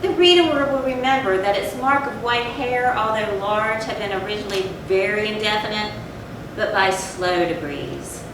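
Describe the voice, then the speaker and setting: A woman playing a guilt-tormented narrator of a gothic horror tale in a deliberate, theatrical stage recitation. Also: close to an everyday voice. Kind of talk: dramatic readers-theatre recitation of a gothic story. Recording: very echoey stage, distant mic, noisy rumble.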